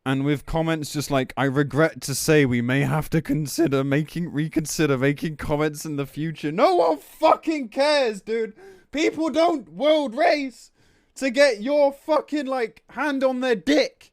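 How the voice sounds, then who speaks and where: A man's voice: high voice, a little slow, no room sound, clean studio sound. A man speaking as a game streamer in a casual livestream rant, blunt, sweary and exasperated as he reads and reacts. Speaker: game streamer commenting on news.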